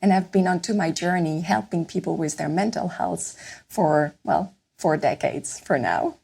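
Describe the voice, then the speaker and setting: A woman's voice: fairly low pitch, measured pace, vocal fry, darkish tone, breathy edge. A middle-aged French woman with a French accent, a neuroscientist guest speaking earnestly in a podcast interview. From France. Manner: earnest, explanatory.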